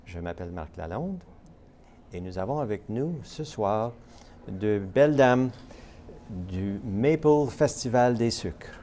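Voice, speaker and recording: A man's voice: fairly low pitch, animated, slow pace, warm tone, a little hiss or hum. A man hosting a casual TV interview, chatty and laughing, with many fillers. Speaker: talk show host.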